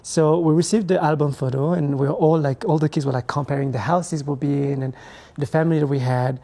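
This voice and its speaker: Soft-toned young man. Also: fairly high pitch, fairly even intonation, creaky. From Ethiopia, raised in France. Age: 20s. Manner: reflective, hesitant, halting phrasing. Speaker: guest. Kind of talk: conversational interview.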